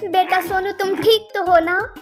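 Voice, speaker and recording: A woman's voice: extremely high pitch, steady tone, measured pace, pitched above the performer's own voice. A woman voicing a worried, loving mother camel in an animal story, motherly and exclamatory, with faint music underneath. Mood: tender, advising. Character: worried, loving mother camel scolding and praising her calf.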